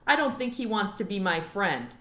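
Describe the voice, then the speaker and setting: A woman's voice: medium pitch, big pitch swings, normal speed, fairly bright tone. Lady doing a earnest kid girl from a storybook, curious n stubborn, really up and down, roomy echo. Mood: defensive. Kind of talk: storybook read-aloud character dialogue.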